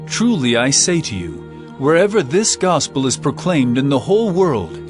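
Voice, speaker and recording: Solemn caring male teacher in a bible drama, very expressive pitch, music behind him. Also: normal speed. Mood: gentle reproof. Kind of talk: dramatized scripture acting.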